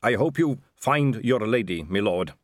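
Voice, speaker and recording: A man's voice: lowish voice, animated, a little slow, dry recording, clean studio sound. A man voicing a nervous, yielding guard captain who speaks hesitantly and respectfully, in a storybook reading. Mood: earnest, anxious.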